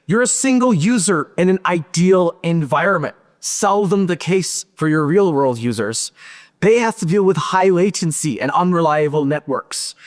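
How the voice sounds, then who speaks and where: A man in his thirties giving a lively, joking tech conference talk from a large hall stage. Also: high-pitched. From Pakistan and Switzerland. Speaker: conference speaker. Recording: hall reverb.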